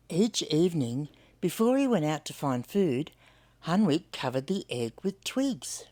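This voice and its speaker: Older aussie lady reading a kids storybook, really slow, voice swoops up and down. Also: deep voice. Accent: Australian. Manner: expressive, addresses young listeners with questions. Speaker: children's story reader. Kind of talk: storybook read-aloud to children.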